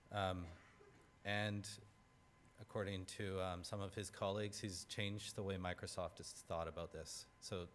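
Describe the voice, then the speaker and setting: A man's voice: sits low, slight background noise. A man hosting a conference, introducing a speaker in a casual, upbeat way with plenty of filler words. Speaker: conference host. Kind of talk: event introduction.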